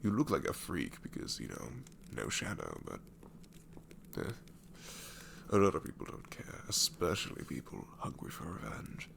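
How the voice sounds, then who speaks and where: A man's voice: rumbling low, huge pitch swings, normal speed, vocal fry, fairly bright tone, slight background noise, exaggerated voice. A man voicing a sassy, married male shadow demon in an ASMR roleplay, talking sarcastically and drawling, often dropping into whispers. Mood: wry.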